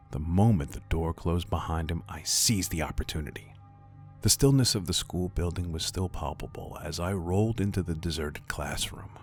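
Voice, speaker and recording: A man reading a scripted first-person scary story in a dramatic, ominous manner. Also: low-pitched. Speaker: horror story narrator. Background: faint music.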